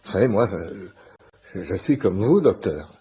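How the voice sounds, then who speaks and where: An actor playing a flustered, eager middle-aged patient who fancies himself a healer, stammering and apologetic in a French radio comedy. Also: male voice, on the low side, big pitch swings, measured pace, dark tone, breathy edge, exaggerated voice. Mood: hurt, apologetic. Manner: stammering, apologetic, self-justifying.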